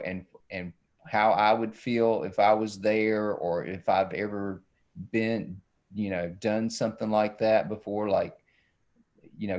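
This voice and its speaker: A man's voice: lowish voice, darkish tone, muffled recording. A man walks through a drawing demonstration. He talks in a folksy, playful, casual way, like an illustrator chatting while he works. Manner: folksy, playful, self-described silly. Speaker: children's book illustrator.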